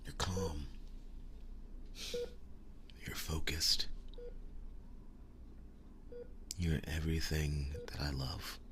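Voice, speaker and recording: Man playing a devoted wounded werewolf boyfriend, low voice, slow halting delivery, breathy whispers, earnest and tender, intimate ASMR roleplay. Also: steady tone, noisy background. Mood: gentle, solemn. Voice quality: breathy, often dropping into whispers. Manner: slow, halting, soft-spoken reassurance.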